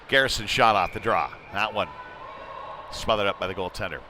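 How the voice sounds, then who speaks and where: A man's voice: fairly low pitch, fairly quick, pushed and bright. A man calling live ice hockey play-by-play in a conversational, folksy way, with casual asides between the action. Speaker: play-by-play commentator. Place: indoor youth hockey arena. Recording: noisy arena with clattering ambience.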